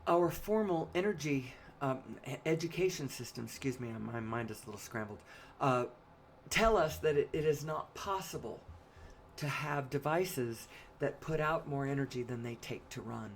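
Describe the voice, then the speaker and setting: A woman with a hoarse, raspy voice giving a solo spoken monologue, pausing often to cough and clear her throat. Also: a bass voice, expressive, slight background noise.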